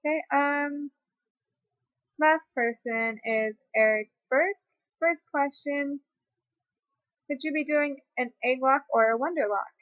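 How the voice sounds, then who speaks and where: A twenty-year-old woman chats her way through viewer questions from home, wandering through thoughts with lots of fillers and restarts. Her voice sits a little high. The webcam audio is dry and slightly muffled. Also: slow pace, long pauses, no echo. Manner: rambling, chatty, many fillers and restarts. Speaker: YouTuber. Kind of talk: casual facecam Q&A. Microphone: webcam mic.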